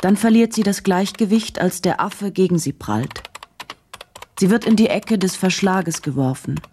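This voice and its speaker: An actress playing a sober journalist who narrates a grim case in a radio drama, measured, factual and detached. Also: female voice, mid-range voice, warm tone, slight background noise, lightly acted. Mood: sober, tense.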